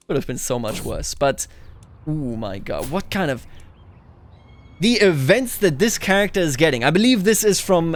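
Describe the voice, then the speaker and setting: Male game streamer, slightly high voice, casual and expressive, thinking aloud between narrated passages. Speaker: gaming video host. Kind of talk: casual game commentary mixed with reading in-game story text aloud.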